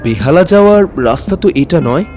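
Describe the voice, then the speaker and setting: A man's voice: fairly high pitch, expressive, moderate pace, darkish tone, soft background music, natural voice. An actor playing a courteous, hesitant maths tutor, telling his own eerie story in an audio drama. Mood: uneasy, curious. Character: anxious, courteous male maths tutor recounting an eerie night. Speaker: narrator and lead character. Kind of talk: dramatic first-person ghost-story narration.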